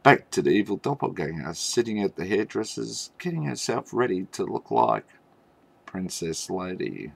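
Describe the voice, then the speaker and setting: A man telling a mock fairy tale in a theatrical, tongue-in-cheek way, with halting pauses and knowing asides. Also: on the low side, big pitch swings, gravelly. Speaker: storyteller.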